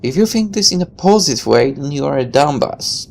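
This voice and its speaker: Female let's player, very low register with animated intonation, narrating a visual novel with sardonic offhand commentary. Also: fairly quick, vocal fry, slight background noise. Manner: irreverent, sarcastic asides. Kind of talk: game playthrough, reading text aloud with offhand commentary.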